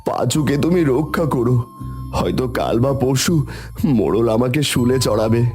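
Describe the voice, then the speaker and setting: A man's voice: medium pitch, moderate pace, darkish tone, faint music behind, natural voice. A man playing a poor, devout village father in a cartoon, speaking earnestly and with sorrow. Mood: sorrowful. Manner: earnest, pleading. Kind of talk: Bengali cartoon voice acting.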